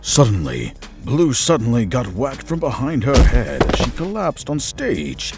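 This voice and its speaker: A man reading scripted story narration for an animated fan series. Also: mid-range voice, a little slow, over loud music.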